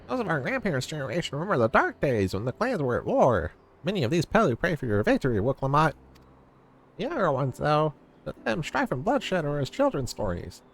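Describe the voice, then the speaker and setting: A man's voice: on the high side, wildly swooping pitch, brisk, a little hiss or hum. A man voicing a young, small and loyal fantasy villager, earnest and eager, reading game dialogue aloud. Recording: music under the voice.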